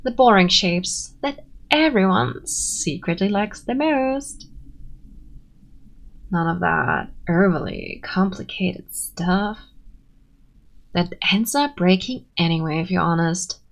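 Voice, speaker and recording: Woman voicing tsundere young female friend, ASMR roleplay, slow pace, very animated pitch, abrasive but sweet, flustered and hesitant. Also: creaky, exaggerated voice. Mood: smug, bossy. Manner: flustered, hesitant, haughty denials. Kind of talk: ASMR roleplay, acted intimate monologue.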